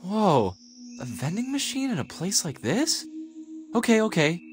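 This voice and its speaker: An actor playing a nervous, excitable young male student in a video game, stammering and good-hearted but cowardly. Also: high-pitched, a little slow, over music, exaggerated voice. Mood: accommodating. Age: young adult. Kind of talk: video game dialogue acting.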